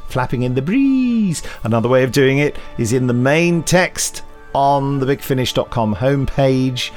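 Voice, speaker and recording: A man's voice: mid-range voice, lively intonation, normal speed, faint music behind. A man co-hosting an audio drama news podcast, chatting in a playful, jokey way. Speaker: podcast co-host. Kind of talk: casual chat.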